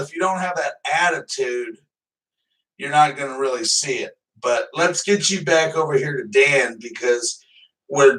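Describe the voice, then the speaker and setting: A man's voice: a little high, fairly bright tone, recorded from a distance, low-quality recording. A man hosting a live stream, chatting casually and rambling loosely, swearing now and then. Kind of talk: casual livestream chat.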